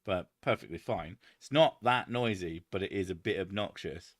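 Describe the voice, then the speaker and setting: A man hosting a casual chat, loud and booming, swearing freely as he answers viewer comments. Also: normal speed, dry recording, clean studio sound. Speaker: channel host.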